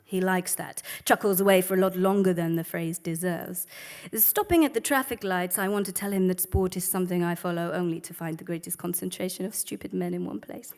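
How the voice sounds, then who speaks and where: A woman's voice: on the low side, fairly even intonation, a little fast, darkish tone, lightly acted. A woman voicing a worldly Egyptian woman with an Egyptian accent, reading a monologue with a dry, mocking delivery. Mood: wry. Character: wry, worldly Egyptian woman, sharp-tongued and unimpressed.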